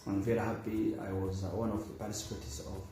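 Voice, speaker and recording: Somali man with a dark, echoing voice. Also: on the low side, level tone, soft background music. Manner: halting, with repeats and fillers. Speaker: course participant, academic director. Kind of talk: testimonial.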